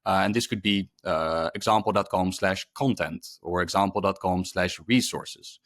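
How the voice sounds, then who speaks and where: Low-voiced man presenting a webinar. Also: moderate pace, fairly bright tone, no echo, studio quality. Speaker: presenter, product specialist. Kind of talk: webinar explanation, unscripted.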